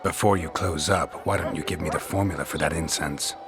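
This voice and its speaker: A very low, gruff man's voice for a guarded mercenary monster hunter in a fantasy game. He is terse and deadpan, with dry delivery. Game music plays clearly behind him. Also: a little fast. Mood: firm. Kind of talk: video game voice acting.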